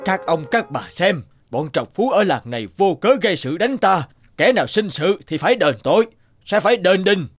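A man's voice: high voice, expressive, moderate pace, no room sound, faint music behind. A man playing a shrewd, righteous old village man with magical powers in a cartoon, declaiming and admonishing. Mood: righteous, stern. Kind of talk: cartoon voice acting.

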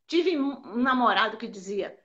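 An older woman from Brazil recalls her dance career in a bright, forceful voice that sits a little high. She talks in an animated way and repeats words for emphasis. The sound is dull and lo-fi, like audio from an online call. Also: normal speed. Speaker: belly dance teacher, interviewee. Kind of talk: casual interview answers.

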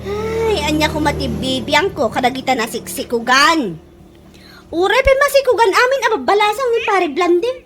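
A woman's voice: very high-pitched, very animated, moderate pace, a bit bright, a little hiss or hum, exaggerated voice. A woman playing a nagging, suspicious middle-aged village wife in an Ilocano comedy radio drama, whiny and scolding with a Filipino Ilocano accent. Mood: exasperated.

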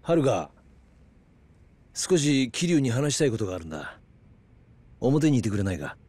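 Grave middle-aged detective. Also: male voice, mid-range voice, very animated, normal speed, a little mellow, breathy edge, no room sound. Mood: concerned. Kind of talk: dramatic video game cutscene acting.

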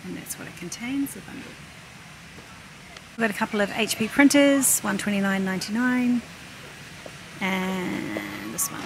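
A woman's voice: sing-song, a little slow, pauses often. A middle-aged woman chats casually, thinking aloud as she narrates her shopping. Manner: chatty, thinking aloud while browsing. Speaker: shopping vlogger. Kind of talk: casual chatty shop-along narration. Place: large indoor warehouse store. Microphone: handheld camera, operator behind it. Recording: noisy warehouse store background hum. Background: loud store ambience and rumble.

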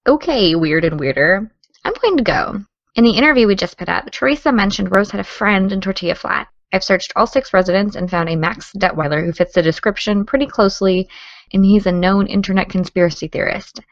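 A creaky-voiced teenage girl acted by a woman leads an investigation in an audio drama. She talks briskly and with dry sarcasm. The sound is close, dry and slightly dulled. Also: mid-range voice, no room sound, lo-fi sound, lightly acted. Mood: focused, matter-of-fact. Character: determined, sardonic teenage girl podcaster investigating a case. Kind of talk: naturalistic audio drama dialogue.

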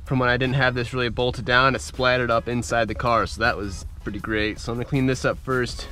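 A young man with a congested voice, chatting excitedly to viewers as he works on his car in a driveway, recorded on a head-mounted camera. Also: mid-range voice, fairly quick, faint music behind. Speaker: car vlogger. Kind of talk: casual point-of-view vlog. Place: outdoors in a driveway, working in a car. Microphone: head-mounted action camera.

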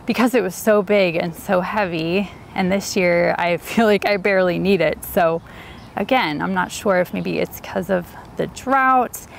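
A woman's voice: mid-range voice, creaky, slight background noise. A woman giving a chatty, enthusiastic walk-and-talk tour of her home garden outdoors. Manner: chatty, enthusiastic, light laughs. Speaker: garden vlogger.

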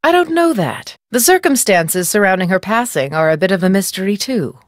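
A woman playing a self-important novelist in a video game, articulate, brisk and condescending. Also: mid-range voice, sing-song, dry recording, clean studio sound, lightly acted. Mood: lecturing, condescending. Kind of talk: video game character dialogue.

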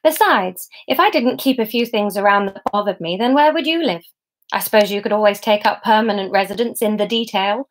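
A woman acts out an all-powerful, genderless God from a comic storybook. The voice is mid-pitched, mild and unbothered, with a serene, lazily amused smugness. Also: brisk, a little hiss or hum, natural voice. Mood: teasing. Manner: mild, unbothered, simply spoken.

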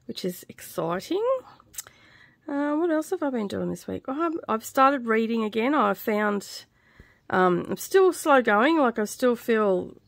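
A middle-aged woman hosting a craft vlog in casual chat, with a slightly croaky, scratchy voice, dry and tired after illness. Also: mid-range voice, dry recording. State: recovering from covid, tired, dry throat. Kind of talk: casual stitch-along chat. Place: small indoor craft room.

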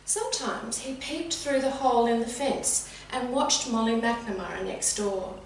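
A middle-aged woman reading a children's story expressively and deliberately, addressing young listeners directly. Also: fairly high pitch, expressive. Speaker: teacher reading a picture book. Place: indoors, sitting room with bookcase. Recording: very echoey room, distant mic, faint hum.

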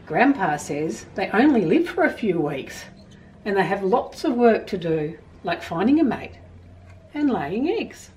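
A middle-aged Australian woman presenting storytime, reading and explaining to young children in an expressive, emphatic way from a small room at home. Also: mid-range voice, measured pace, distant mic, slight background noise, low-quality recording.

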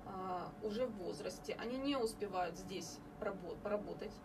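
A woman in her thirties from Ukraine, explaining services as a guest in a radio studio interview, measured with many hesitation fillers. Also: medium pitch. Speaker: home care agency representative. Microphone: studio mic on boom arm, captured by far camera. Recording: distant camera audio with background noise.

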